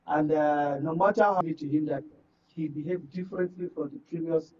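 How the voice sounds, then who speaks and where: A man's voice: fairly high pitch, steady tone, normal speed, slight background noise. A Nigerian man speaking as a guest political commentator in a live discussion, emphatic and repeating phrases to make his point. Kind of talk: live current affairs discussion. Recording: muffled, distant microphone.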